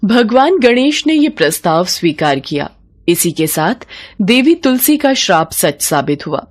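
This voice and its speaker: A woman narrating a scripted devotional story in a measured, expressive storytelling manner. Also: mid-range voice, a little hiss or hum.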